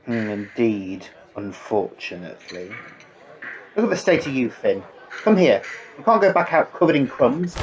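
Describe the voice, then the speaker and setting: A man's voice: medium pitch, big pitch swings, moderate pace, a little hiss or hum, natural voice. A man playing a weary, stern, fussy supervisor with a dry, schoolmasterly manner in an audio drama. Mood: disapproving. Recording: dull, lo-fi recording.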